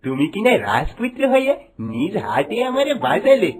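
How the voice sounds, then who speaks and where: A woman's voice: mid-range voice, sing-song, moderate pace, music in the background, close to an everyday voice. A woman voicing a poor, humble peasant in a cartoon fairy tale, with pleading, rustic speech. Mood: grateful.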